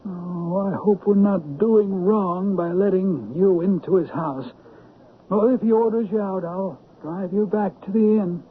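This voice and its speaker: High-voiced, talkative old manservant. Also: male voice, unhurried, slightly rough. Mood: hesitant. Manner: rambling, folksy storyteller. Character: loyal, talkative old manservant recounting a tragedy. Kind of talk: 1970s radio drama acting. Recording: tinny, noisy old radio broadcast recording.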